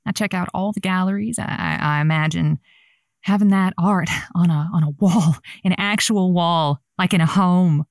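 A woman's voice: lowish voice, moderate pace, no room sound, studio quality, close to an everyday voice. A woman playing a wry, affectionate radio host who uses pet names, in an audio drama. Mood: wry, candid. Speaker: radio advice-show host. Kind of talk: semi-scripted audio drama.

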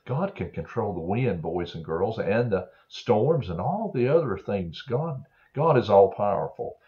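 Older preacher telling children a Bible story. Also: male voice, on the low side, very animated. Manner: slow, earnest, many "uh" fillers, throat clearing. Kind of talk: gentle storytelling sermon for children. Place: home office, indoors. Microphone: webcam on a desk. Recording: dull lo-fi webcam audio.

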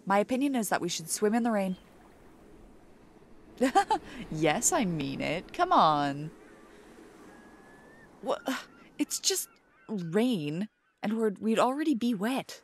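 A young woman doing a soft, playful, teasing ASMR girlfriend roleplay. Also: medium pitch, very animated, takes it slowly, long pauses, slight background noise.

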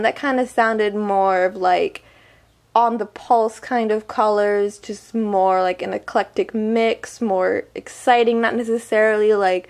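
A woman vlogger chatting casually through an unboxing review, her voice a little weak from a summer cold, full of ums and likes. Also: mid-range voice. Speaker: beauty vlogger.

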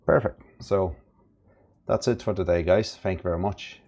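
Very deep-voiced man giving a tutorial. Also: moderate pace, darkish tone. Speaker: product demonstrator. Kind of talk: casual step-by-step tutorial narration. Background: background music.

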